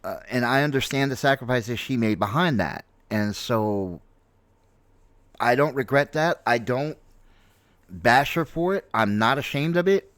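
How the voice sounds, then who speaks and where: A man in his late forties from New York City with an American accent, giving an unscripted personal opinion in a halting, reflective manner and repeating himself for emphasis. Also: medium pitch, lively intonation, fairly bright tone, no room sound. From New York City, United States. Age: late 40s. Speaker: streamer, content creator.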